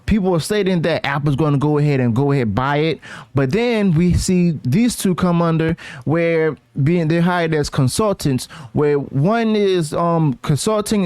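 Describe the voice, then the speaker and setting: Man giving commentary, slightly high voice, dry sound. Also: darkish tone, no echo. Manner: halting, emphatic, with "okay" as a frequent tag. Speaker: host of an entertainment news commentary channel. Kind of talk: informal news commentary.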